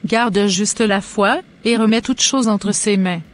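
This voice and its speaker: Tender churchgoing cartoon mother, played by a woman: middle-aged, mid-pitched, formal, reassuring. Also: slow, close to an everyday voice. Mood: concerned, reassuring. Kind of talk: scripted cartoon dialogue.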